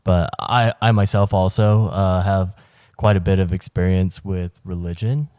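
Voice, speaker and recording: A man's voice: sits low, steady tone, a little slow, mellow, clear, resonant tone, dry recording, clean studio sound. A man in his thirties co-hosting a podcast in casual chat, hesitant, with many uhs and restarts. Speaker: podcast co-host.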